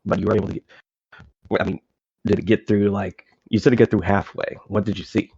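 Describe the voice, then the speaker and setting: A man's voice: lowish voice, little pitch movement, quick pace, gravelly, warm tone, slightly rough. A man playing a tabletop game, speaking casually and improvising in character. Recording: dull, lo-fi microphone sound.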